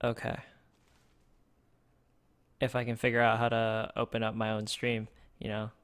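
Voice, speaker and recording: A man's voice: on the low side, monotone, moderate pace, clear, resonant tone. A man streaming a card game for the first time, thinking aloud with many fillers and restarts, close to his desk microphone. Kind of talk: casual gameplay streaming.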